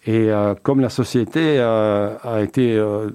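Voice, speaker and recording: A man's voice: fairly low pitch, fairly even intonation, a little slow, dark tone, clean tone. A man in his seventies, born in Belgium, answering interview questions about his career at an easy pace, with many hesitations and restarts. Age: 70s. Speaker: company founder guest. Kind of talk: relaxed interview talk.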